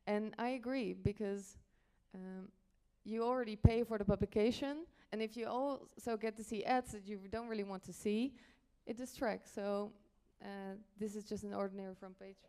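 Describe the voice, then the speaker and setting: A woman from the Netherlands speaks as an editor presenting on a conference panel. Her voice is smooth and clear, at a medium pitch. She explains in a halting way, pausing and rewording as she goes. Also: steady tone, mellow.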